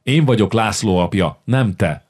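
A man's voice: fairly low pitch, darkish tone, breathy edge. A man voicing a fiery, desperate middle-aged warlord who pleads and then defies. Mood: defiant.

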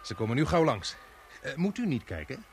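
High-voiced, showy chatty man. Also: big pitch swings, a little fast, vocal fry, slight background noise. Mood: chatty, nosy. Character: chatty, showy bystander man turned excited event commentator. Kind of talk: Dutch radio play acting.

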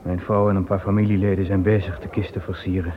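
A man's voice: low-pitched, level tone, fast, close to an everyday voice. A man in a radio play voicing a blunt, stubborn middle-aged tanner mourning his son. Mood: grim. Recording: tinny old radio recording.

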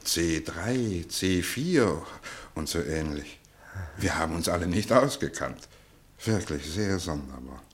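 A man acting an urbane, fatherly professor of medicine in a radio play, deliberate and reassuring, with pointed pauses. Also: sits low, animated. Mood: probing, musing.

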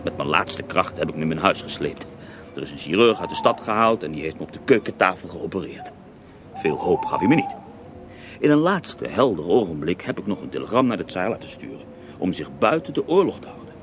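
A man's voice: low voice, sing-song, a little fast. An actor playing an earthy Russian holy man who tells his life story with theatrical relish in a radio drama. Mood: dramatic recounting. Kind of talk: radio drama acting in interview form. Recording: noisy older radio drama recording.

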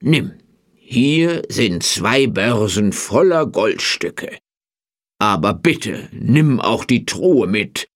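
Storybook role: gullible, easily riled farmer husband, played by a male reader, slow and theatrical with big pitch swings. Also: sing-song, heavily acted. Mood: eager, pleading. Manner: emphatic, drawn-out, theatrical. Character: gullible, blustering peasant husband, easily outraged.

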